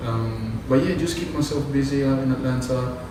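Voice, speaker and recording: A man's voice: mid-range voice, moderate pace, very echoey, far from the mic, background noise. A man from the Congo whose first language is Lingala, talking casually as a guest actor with many fillers. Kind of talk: casual interview.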